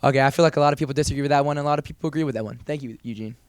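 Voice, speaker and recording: A man's voice: medium pitch, clean tone. A teenage boy anchoring a school morning show, asking students casual, upbeat questions. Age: teens. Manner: upbeat, quick, chatty questioning. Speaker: student news anchor and interviewer. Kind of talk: casual school broadcast interviews.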